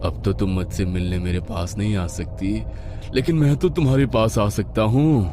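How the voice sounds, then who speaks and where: A man's voice: on the low side, fairly even intonation, natural voice. A man voicing a menacing undead young lover in a horror story, drawling mock-sweet, possessive endearments. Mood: mocking sweetness. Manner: drawn-out, mocking endearments with evil laughter. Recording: music behind the voice.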